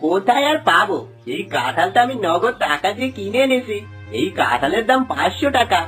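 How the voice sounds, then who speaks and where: A woman's voice: mid-range voice, big pitch swings, a little fast, fairly bright tone. A woman voicing a greedy, sly village husband in a theatrical, exclaiming cartoon manner. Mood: dismissive. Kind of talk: Bengali cartoon dubbing. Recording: music under the voice.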